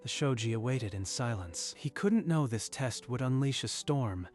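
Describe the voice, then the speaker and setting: A man reading scripted story narration in a measured, literary storytelling manner. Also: mid-range voice, expressive, darkish tone, soft background music.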